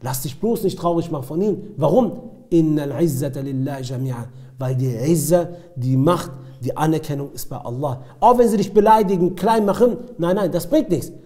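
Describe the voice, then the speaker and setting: A man with a fairly high, very mellow voice gives an Islamic sermon. He speaks emphatically, repeats key phrases and puts rhetorical questions to his listeners. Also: rapid-fire. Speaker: Islamic preacher. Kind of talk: religious lecture with recited Arabic verses and retold stories.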